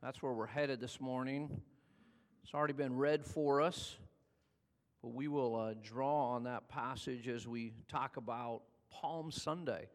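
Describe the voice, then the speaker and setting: A man's voice: a little slow, in a large hall. An older man from California, a pastor giving a conversational sermon that builds in energy as he retells the story. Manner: conversational preaching, builds in pitch and volume as he tells the story.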